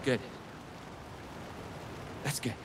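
An actor playing a middle-aged, paranoid, protective sheriff in a video game, halting and stammering. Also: male voice. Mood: urgent, worried. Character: shaken, paranoid small-town male sheriff, protective. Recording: rumbling noise behind the voice.

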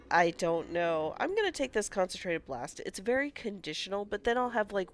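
A woman's voice: low-pitched, a little hiss or hum. A woman with a stuffy cold voice, casually commentating a game and reading menu options aloud. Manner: reads game menus aloud and muses over options. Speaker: gaming channel host. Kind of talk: casual let's-play commentary.

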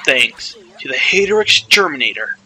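A man's voice: medium pitch, very animated, normal speed, bright, forceful tone, slight background noise, pitched below the performer's own voice, poor audio. A man voicing a boastful, panicky young boy hero in a comedic video game dub, exclaiming and narrating himself dramatically. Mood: sneaky, boastful.

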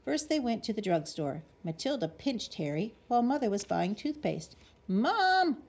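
A woman with a slightly husky voice reading a children's picture book expressively as a bedtime story. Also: medium pitch, very animated, moderate pace, warm tone.

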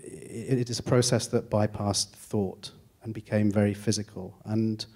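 A man, a film director, answering an audience question at a cinema Q&A, thoughtful and halting, with restarts. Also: lowish voice, level tone, warm tone, slightly rough. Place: cinema stage at a Q&A. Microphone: handheld mic.

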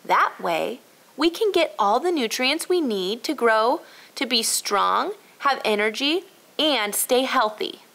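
A helpful young girl acted by a woman narrator explains things in a high, lively voice. She is earnest, in a storybook style. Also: slow pace, creaky, full character voice. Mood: agreeable, earnest. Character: bright, helpful young girl solving a mystery. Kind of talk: children's storybook read-aloud.

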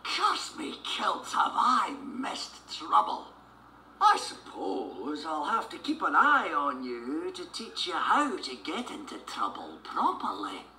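Cartoon lead: old Scottish tycoon duck, gruff and adventurous, forceful bright delivery, hugely swooping pitch. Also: male voice, huge pitch swings, takes it slowly, roomy sound, slight background noise, exaggerated voice, low-quality recording. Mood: jubilant. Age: older. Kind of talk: cartoon character acting in an audio storybook.

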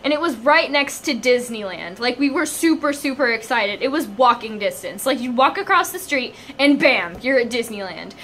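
Bubbly high-voiced young woman vlogger. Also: moderate pace, hardly any pauses, slight background noise. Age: young adult. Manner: bubbly, giggly, excitable. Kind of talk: casual vlog chat. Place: car, then small hotel room. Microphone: phone held at arm's length.

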